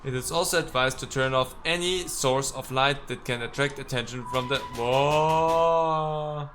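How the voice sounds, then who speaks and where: A man's voice: medium pitch, a little slow, over music. A man doing let's play commentary, reading game text aloud and reacting with sarcasm and exasperated swearing. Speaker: let's player.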